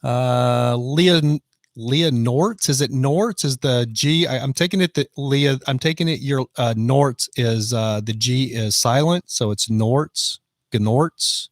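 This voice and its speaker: A man's voice: medium pitch, takes it slowly, dry recording, clean studio sound. A man in his fifties hosting a casual live stream, chatting and reading out viewer comments. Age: 50s. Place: van in the rain.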